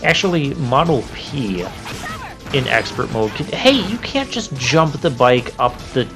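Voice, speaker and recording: A man's voice: medium pitch, very animated, measured pace. A man giving casual let's play commentary on a video game, chatty and informal, thinking aloud as he plays. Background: game music and crashing effects.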